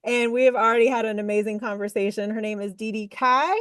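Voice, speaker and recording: A woman's voice: a little high, steady tone, fast, a bit bright, clear, resonant tone, no room sound. Chatty upbeat woman podcast host. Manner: upbeat and chatty, laughs often, lots of fillers like "you know". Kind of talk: casual interview chat.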